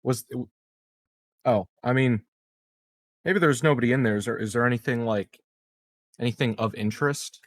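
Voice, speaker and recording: A man's voice: mid-range voice, normal speed, long pauses, no room sound, studio quality. A man talking casually as a player in a tabletop game.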